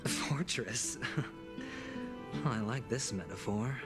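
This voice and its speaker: Smug young gambler from a game, slow teasing drawl, music behind him. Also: male voice, mid-range voice, expressive. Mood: smug, playful. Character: sly, theatrical young gambler man, smug and playful. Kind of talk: video game voice acting.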